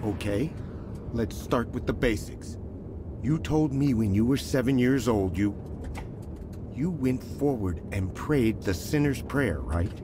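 An actor playing a caring, middle-aged pastor husband, reassuring and concerned, in an audio drama. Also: male voice, mid-range voice, animated, slow, noisy background. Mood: disbelieving, reassuring.

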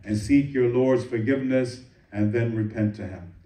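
A man's voice: mid-range voice, dark tone. Man preaching in an echoey hall. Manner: recites Arabic verses quickly then translates into English. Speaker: imam giving Friday sermon. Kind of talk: sermon. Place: mosque prayer hall. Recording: echoey room, voice from a distance.

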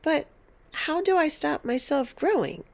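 A woman's voice: high voice, very animated, unhurried, vocal fry, a little mellow, voice pushed up, full character voice. A woman voicing a polite little boy of eight in a storybook, plaintive and protesting. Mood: meek, questioning. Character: small eight-year-old boy, polite, dismayed by his grandmother.

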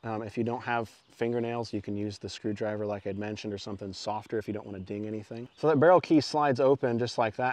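A man's voice: mid-range voice, darkish tone. A man in his thirties giving an informal, self-effacing how-to demonstration outdoors, speaking into a clip-on mic. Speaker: muzzleloading channel host. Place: outdoors in woods. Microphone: clip-on lapel mic.

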